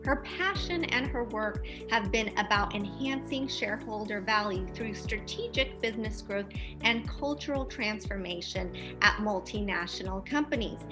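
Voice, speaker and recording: A woman's voice: mid-range voice, moderate pace, hardly any pauses, over music. A woman hosting a podcast interview, upbeat and reading prepared introductions and questions. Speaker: podcast host.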